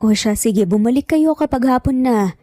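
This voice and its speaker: Woman as mellow, watchful grandmother. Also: fairly high pitch, lively intonation, moderate pace, dry recording, clean studio sound, close to an everyday voice. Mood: mildly cautioning. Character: lenient but watchful grandmother. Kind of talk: storytelling dialogue.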